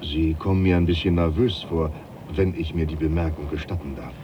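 An actor playing a deferential, plain-spoken, reassuring manservant aboard a ship in a radio drama, respectful and wry. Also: male voice, extremely low pitch, fairly even intonation, a little fast. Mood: concerned. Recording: muffled, clearly noisy.

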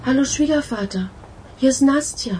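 A woman's voice: high voice, moderate pace, close to an everyday voice. An actress playing a weary, wry, grumbling woman in a radio crime drama, over vehicle noise. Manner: blunt, wry.

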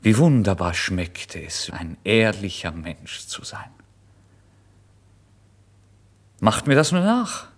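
A rather low-voiced, destitute young man confesses his story in a literary radio play. He is brooding and feverish, tormented yet exalted. His intense delivery moves between hushed murmurs and loud, impassioned declamation. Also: very animated, unhurried, breathy edge, full character voice. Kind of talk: dramatic first-person literary narration in a German radio play.

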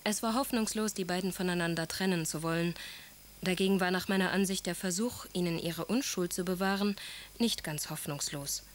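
A woman's voice: lowish voice, brisk, slight background noise, natural voice. An actress playing a wounded, devoted young aristocratic wife, recalling her marriage in a composed, literary way in a radio drama. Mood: troubled, confessional. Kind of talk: German radio drama monologue, memoir-like recollection.

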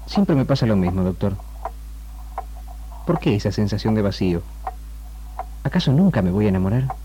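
A man's voice: fairly low pitch, sing-song, moderate pace, background noise. An actor with an Argentine accent playing an anguished, self-doubting young man in a 1960s radio drama. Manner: brooding, questioning himself. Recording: archival radio recording with music.

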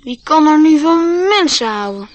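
Radio play boy: young, lonely, dreamy, high-pitched, sincere. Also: animated, a little slow, slight background noise. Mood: earnest, dreamy.

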